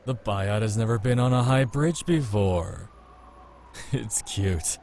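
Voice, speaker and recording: A man's voice: on the low side, slow pace, a little mellow, slight background noise, pitched below the performer's own voice. A man voicing a cocky, playful male love interest in a visual novel, teasing and breezy, over music. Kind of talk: visual novel character reading.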